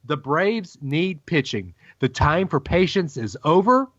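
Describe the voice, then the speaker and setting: A man co-hosting a sports podcast, ranting emphatically with dramatic pauses. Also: on the high side, big pitch swings, no echo, highs cut off. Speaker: podcast co-host. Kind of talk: casual sports podcast banter.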